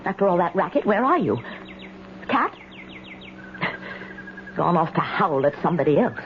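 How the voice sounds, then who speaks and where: A woman's voice: lowish voice, very animated, lo-fi sound. Fretful elderly lady, wildly swooping voice. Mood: uneasy. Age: older. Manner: chattering to herself, halting repeats. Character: fretful, lonely elderly spinster, talking to herself. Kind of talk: 1970s radio drama acting. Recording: noisy, band-limited 1970s radio recording.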